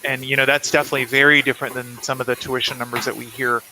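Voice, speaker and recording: A man's voice: mid-range voice, flat delivery, very fast. A man from South Carolina speaking conversationally as an interview guest, explaining things reflectively. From South Carolina, United States. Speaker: guest author. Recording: clear background noise on livestream.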